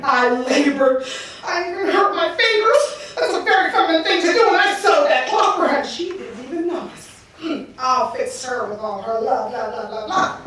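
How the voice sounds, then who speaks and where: A woman's voice: extremely high pitch, expressive, normal speed, a bit bright. A woman voicing a lovesick, resentful male god in a myth, grumbling indignantly and muttering as he schemes. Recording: distant mic in a very echoey room.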